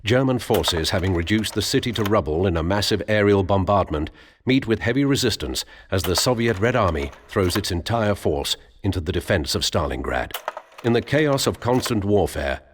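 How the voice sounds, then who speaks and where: An actor playing a solemn wartime history narrator in a video game cutscene, speaking gravely and deliberately. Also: male voice, fairly low pitch, expressive, natural voice. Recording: long reverb, faint noise.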